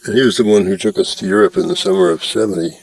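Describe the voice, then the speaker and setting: A man giving a plain, measured first-person story reading. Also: lowish voice, big pitch swings, vocal fry, rough recording.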